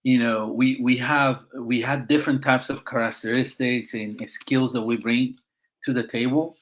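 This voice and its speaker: A middle-aged man with a Puerto Rican accent speaks in an emphatic, encouraging way, often tossing in "right?". His voice sits at an ordinary middle pitch for a man. He talks like a veteran guest in a relaxed podcast chat. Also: moderate pace, slight background noise. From Puerto Rico. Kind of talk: casual podcast conversation.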